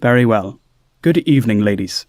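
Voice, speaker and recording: A man's voice: lowish voice, lively intonation, normal speed, darkish tone, no room sound, close to an everyday voice. A man playing a formal, awkward watchman who speaks with hesitant courtesy in a fantasy audio drama. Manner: hesitant, polite, stiffly courteous.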